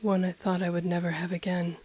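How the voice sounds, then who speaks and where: A woman voicing an ancient female dragon in an intimate audio roleplay, breathy and often whispering, haughty yet tender, with teasing, seductive, formally worded speech. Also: fairly low pitch, monotone, a little mellow. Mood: pensive, intrigued. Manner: slow, teasing, formal archaic phrasing.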